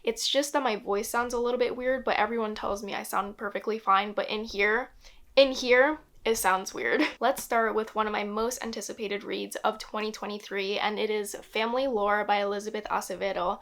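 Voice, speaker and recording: A young woman hosts a casual book haul vlog, speaking with upbeat enthusiasm. She has a slight cold. Her voice sits at an ordinary middle pitch. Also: fairly even intonation, moderate pace, fairly bright tone. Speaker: book vlogger.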